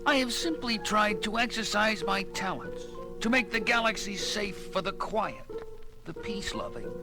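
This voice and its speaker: A man's voice: high voice, moderate pace, over music, natural voice. A man playing a fussy, self-satisfied eccentric inventor villain in a science fiction audio drama, prim and theatrical. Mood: self-justifying.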